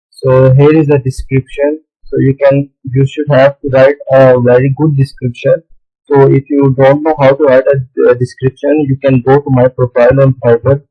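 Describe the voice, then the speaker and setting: A man whose first language is Urdu, walking through a screen tutorial in a halting way, often pausing on "okay, so". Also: medium pitch, slightly rough, muffled recording. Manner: halting, with many "okay, so" fillers. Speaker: tutorial host. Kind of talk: screen-recorded tutorial walkthrough.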